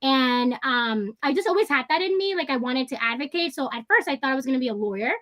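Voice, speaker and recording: A chatty woman in her thirties, raised between the Dominican Republic and New York, with Spanish as her first language. Her voice sits fairly high and sounds bright and forceful. She talks eagerly, full of fillers and restarts. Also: expressive, fast. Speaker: guest, licensed clinical social worker. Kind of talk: casual interview talk.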